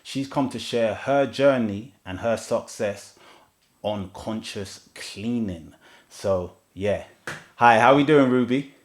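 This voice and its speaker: A podcast host with a fairly low-pitched voice and a laid-back, easygoing way of talking. He keeps the interview casual and friendly. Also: takes it slowly.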